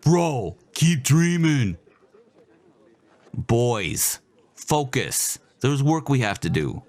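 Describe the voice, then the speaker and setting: A man's voice: medium pitch, sing-song, drawn-out words, a little mellow, voice pushed up, heavily acted. A man voicing a cocky, crude young quarterback who taunts his friends in a brash, profane way. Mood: taunting.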